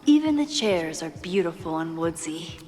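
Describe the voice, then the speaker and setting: A woman's voice: on the low side, big pitch swings, normal speed. A woman playing a thoughtful, caring young woman in a video game, speaking in an understated, introspective way. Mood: musing. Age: young adult. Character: thoughtful young woman, caring, quietly burdened photographer with powers. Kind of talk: video game acting, inner monologue and casual dialogue. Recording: music behind the voice.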